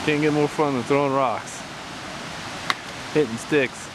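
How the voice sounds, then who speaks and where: An American man, a scout leader, casually narrating his backpacking trip on a handheld camera outdoors in the forest. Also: on the high side. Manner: relaxed, matter-of-fact commentary with short chuckles. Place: outdoors in a mountain forest by a rushing stream. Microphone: handheld camera mic. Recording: camcorder outdoors with loud stream noise. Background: rushing stream water.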